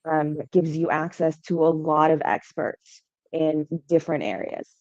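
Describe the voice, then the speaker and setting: A woman's voice: deep voice, fairly even intonation, moderate pace, darkish tone, no echo. A young adult woman from Hawaii, a startup founder answering podcast interview questions in a conversational way, often saying "um" and "right?". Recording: dull lo-fi sound.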